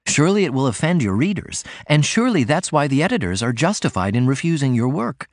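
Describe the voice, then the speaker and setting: A man's voice: big pitch swings, fast talker, no echo, studio quality. A man reading aloud, voicing a prim young woman who pleads and reproaches in a raised, careful voice. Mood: reproachful. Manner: pleading, higher put-on voice.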